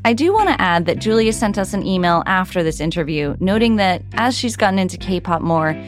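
A woman's voice: lowish voice, animated, a little fast, soft background music. A woman hosting a podcast who asks friendly, curious questions and sums up the discussion. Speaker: podcast host.

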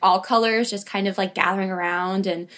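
A woman's voice: medium pitch, fairly even intonation, a little fast, a bit bright. A woman from Los Angeles answering interview questions casually, with lots of "like" and frequent laughs. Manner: chatty, lots of "like" and "you know", laughs often.

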